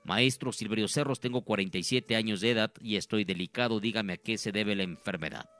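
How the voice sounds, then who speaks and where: A man's voice: fairly low pitch, a little fast, a bit bright. A man addressing radio listeners live as a host and healer, in an earnest, repetitive, preacher-like way.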